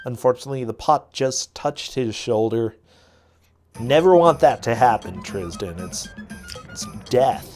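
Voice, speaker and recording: A man's voice: medium pitch, measured pace, darkish tone. A man doing casual, chatty commentary on a video game race. He laughs often, and his voice is getting a little worn. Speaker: teacher and streamer. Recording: music playing behind.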